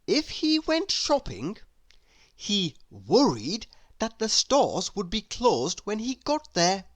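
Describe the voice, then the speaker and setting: Slow, lively woman reading a children's story. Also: mid-range voice, no echo. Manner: expressive, deliberate. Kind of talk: read-aloud storytelling.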